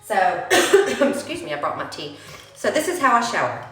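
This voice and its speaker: A woman's voice: mid-range voice, big pitch swings, normal speed, a bit bright, soft background music. A young woman, chatting casually through a hair-care tutorial while sniffling from a cold. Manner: chatty, addresses viewers as y'all. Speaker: beauty vlogger. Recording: roomy echoey home recording.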